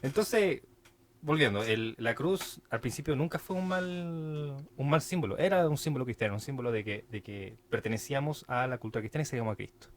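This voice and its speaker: A man's voice: medium pitch, animated, a little fast, slight background noise. A young man with a Chilean accent co-hosting a podcast, talking casually and loosely with slang and lots of fillers. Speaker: podcast co-host.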